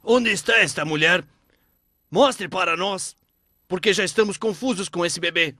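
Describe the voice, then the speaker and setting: Bossy impatient king in a cartoon man acting, high forceful voice, dry sound. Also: normal speed, dry recording. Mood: impatient, commanding. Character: commanding, impatient male pharaoh demanding answers. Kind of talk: animated religious story acting.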